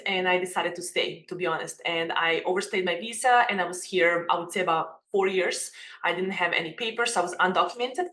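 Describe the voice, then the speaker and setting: Serbian woman in her 30s, bright sharp tone, open and earnest, restarting sentences, roomy video call sound. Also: medium pitch. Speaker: guest, makeup academy owner. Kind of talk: casual interview chat. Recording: echoey room.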